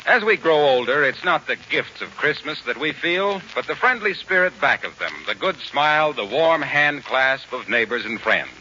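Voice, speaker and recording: A man's voice: a little high, animated, moderate pace, few pauses, sharp tone. An American man announcing in a scripted 1940s radio style. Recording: muffled, faintly noisy 1940s radio recording.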